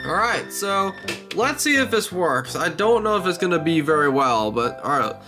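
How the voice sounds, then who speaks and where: A man's voice: a little high, big pitch swings, normal speed, over music. A man talking casually and hesitantly in a rambling, informal vlog while demonstrating something. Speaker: video creator.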